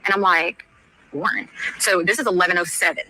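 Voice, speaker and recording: Woman telling what happened step by step, kinda low voice but bright, real conversational. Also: a little fast, rough recording. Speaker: interviewee recounting events. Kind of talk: casual personal account.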